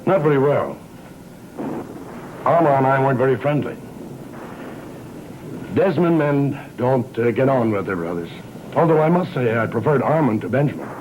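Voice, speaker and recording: A man playing a grave, measured older father, long thought dead, in a 1960s gothic soap opera. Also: mid-range voice, expressive, highs cut off, noisy background, close to an everyday voice. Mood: courteous. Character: solemn long-lost father back from presumed death. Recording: old TV soundtrack with music.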